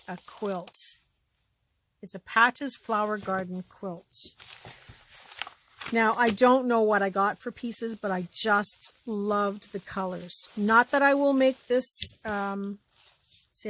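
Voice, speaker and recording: A woman with a scratchy voice chatting casually as she shows off a thrift haul, often trailing off into quiet asides. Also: mid-range voice. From Canada. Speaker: vlogger showing a thrift haul. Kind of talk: casual show-and-tell chat.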